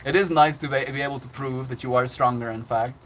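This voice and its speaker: A man's voice: mid-range voice, fairly even intonation, fast, a bit bright, slight background noise. A man chatting casually on his livestream, laughing often and reading out chat messages.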